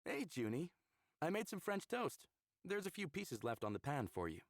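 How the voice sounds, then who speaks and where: A man's voice: fairly high pitch, big pitch swings, moderate pace, dry recording, studio quality. A man playing an easygoing, easily flustered young lover in a visual novel, casual and sheepish. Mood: friendly, casual. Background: game music.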